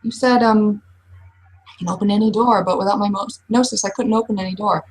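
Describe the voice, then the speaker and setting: A woman playing a blunt, skeptical werewolf in a tabletop roleplay, probing with frank questions and pausing before the pointed ones. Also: mid-range voice, fairly even intonation, breathy edge, close to an everyday voice, poor audio. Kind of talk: in-character tabletop roleplay dialogue.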